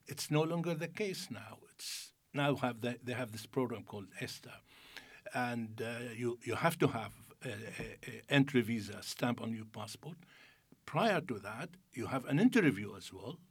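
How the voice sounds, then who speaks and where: Husky guy on a panel, chuckles a lot, stops and restarts. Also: mid-range voice, lively intonation. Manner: chuckling, halting storyteller. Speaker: panel guest. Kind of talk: studio discussion.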